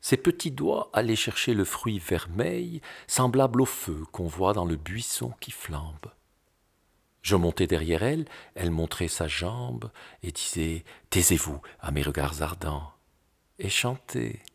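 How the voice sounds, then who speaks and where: A man gives an expressive recitation of poetry in a low, husky voice. His intonation swings widely, with theatrical, declamatory phrasing and dramatic pauses that let each line stand apart. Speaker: poetry reader.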